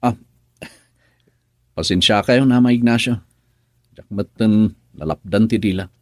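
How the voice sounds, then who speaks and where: A man's voice: on the low side, unhurried, no echo, studio quality, close to an everyday voice. A man acting in a Filipino radio drama as a troubled young married man, with an Ilocano accent and a hesitant, musing way of talking. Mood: troubled, confiding.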